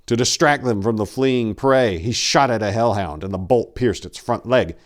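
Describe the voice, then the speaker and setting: A man narrating dark fantasy horror as a dramatic reading, with a grave, intense, theatrical delivery. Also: lowish voice, moderate pace.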